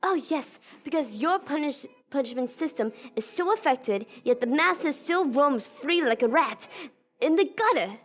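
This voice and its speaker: Woman acting a high-voiced, defiant Time Lord agent. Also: lively intonation, normal speed. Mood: defiant, sarcastic. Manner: impatient, sarcastic, insolent. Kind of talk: sci-fi audio drama acting.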